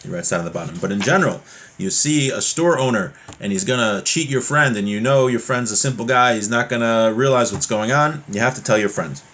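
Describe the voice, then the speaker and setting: A man's voice: mid-range voice, fairly quick, a bit bright. A man teaching a religious class informally, reading from a text and explaining it conversationally with everyday examples.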